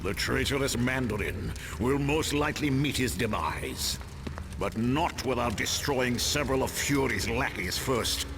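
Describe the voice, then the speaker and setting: Clear noise sits behind an imperious video game villain mastermind, grandiose and formal. His pitch swings widely as he schemes and commands with theatrical weight. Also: expressive, a little slow. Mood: scheming. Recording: noisy game cutscene soundtrack.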